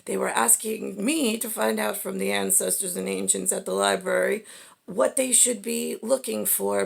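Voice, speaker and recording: Gravelly, creaky-voiced woman rambling. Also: mid-range voice. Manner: halting, digressive, with frequent self-questioning tags like "right?"; acts out imagined two-way conversations. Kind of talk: rambling stream-of-consciousness monologue.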